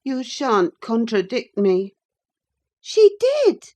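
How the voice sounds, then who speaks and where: A woman's voice: high-pitched, expressive, unhurried, mellow, pitched above the performer's own voice. A woman voicing a hot-tempered young girl in an audiobook, passionate and crying out. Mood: enraged. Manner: passionate, cries out. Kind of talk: audiobook character reading.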